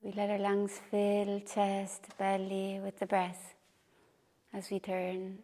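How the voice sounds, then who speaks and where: A woman teaching meditation and gentle movement, guiding in short, unhurried phrases with pauses. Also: mid-range voice, completely flat delivery. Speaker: taiji and qigong meditation teacher. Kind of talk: guided meditation and movement instruction. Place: retreat session, live group class.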